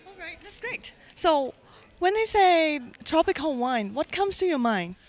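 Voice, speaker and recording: A woman with a high-pitched voice interviews guests at a conference. She asks short, polite, prepared questions and thanks each guest in turn. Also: sing-song, unhurried, soft background music.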